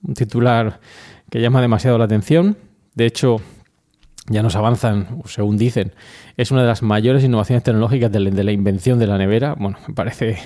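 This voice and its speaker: A man from Murcia with a Spanish accent from Spain presents a podcast. His voice is medium in pitch with a soft, dark, relaxed tone. He comments on news items conversationally, explaining them in plain, informal terms. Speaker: podcast host.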